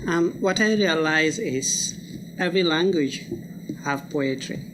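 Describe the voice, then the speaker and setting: A man's voice: fairly high pitch, measured pace. A young man who speaks English as a second language, sharing personal reflections in plain short sentences at a live event. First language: a language other than English. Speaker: student poetry reader. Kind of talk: unscripted personal reflection to an audience. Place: live college lecture event. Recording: clearly noisy live event recording.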